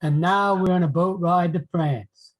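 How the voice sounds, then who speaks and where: A man playing a devoted, admiring gentleman poet in an online play reading, gentle and formal. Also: sits high, unhurried, low-quality recording. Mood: tender, admiring.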